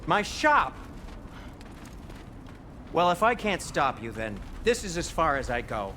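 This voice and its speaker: Fretful game shopkeeper: prim, sardonic, high and swooping, over noisy ambience. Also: male voice, sing-song, slow pace, sharp tone, full character voice. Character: fussy, anxious, fretful fantasy shopkeeper. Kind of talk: video game dialogue acting.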